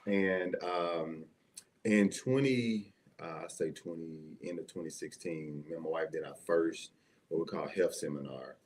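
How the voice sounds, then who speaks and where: A man from Mississippi with a Southern accent and a fairly low voice. He speaks as a church leader giving personal testimony to listeners. His storytelling is folksy and conversational, checking in with the room as he goes. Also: measured pace, darkish tone, a little hiss or hum. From Mississippi, United States. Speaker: assistant pastor, interview guest.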